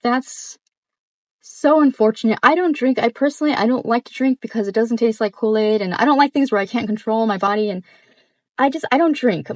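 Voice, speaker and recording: A woman with a fairly high voice reacts in a casual, off-the-cuff way. She is informal and exclamatory, repeating words for emphasis, in a close, dry recording. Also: dry recording. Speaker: reaction video host.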